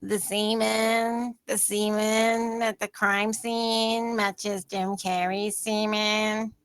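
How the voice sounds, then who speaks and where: A woman playing a matter-of-fact, deadpan court officer in a comedy script table read. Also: mid-range voice, extremely slow, smooth, no echo.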